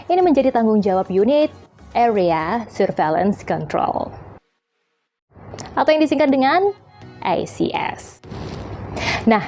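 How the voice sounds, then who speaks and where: A woman's voice: mid-range voice, mellow. A woman hosting a casual, chatty educational explainer in a playful, jokey, colloquial way. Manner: playful, jokey, acts out little dialogues to illustrate points. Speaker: host of an aviation explainer channel.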